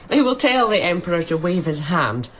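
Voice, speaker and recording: A shrewd, mercenary farm widow in a radio drama. She is forthright and scornful, with a swooping, animated delivery. There is slight ambient noise behind her. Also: lowish voice, a little slow. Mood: scornful, amused. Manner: mocking, forthright, laughs as she talks.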